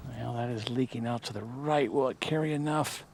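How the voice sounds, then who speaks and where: A man's voice: medium pitch, normal speed, noisy background. A man commenting on a golf broadcast, explaining the technique behind shots. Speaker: golf analyst.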